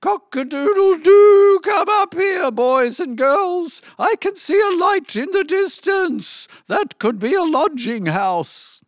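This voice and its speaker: A man's voice: very high-pitched, animated, takes it slowly, few pauses, no room sound, studio quality, voice pushed up, full character voice. A man voicing an old rooster in a children's storybook, crowing and calling out. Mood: crowing, excited.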